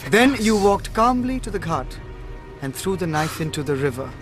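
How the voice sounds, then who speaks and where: An actor with an Indian accent playing a shrewd, self-assured detective in a radio drama, speaking in a measured, deliberate way. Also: male voice, high voice, very animated, a bit bright, soft background music. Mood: probing, accusing.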